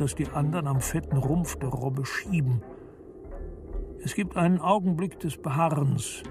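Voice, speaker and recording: A man with a fairly high voice narrates a literary audio drama. He takes his time, very measured and deliberate, while music plays clearly underneath. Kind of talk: literary scripted narration of a radio play. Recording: studio narration over prominent music.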